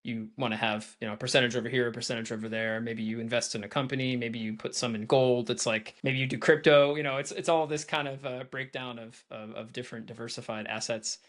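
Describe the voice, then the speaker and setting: A man's voice: mid-range voice, fairly even intonation, quick pace, hardly any pauses, fairly bright tone. A man hosting a podcast interview, chatty and enthusiastic with many fillers. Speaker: podcast host.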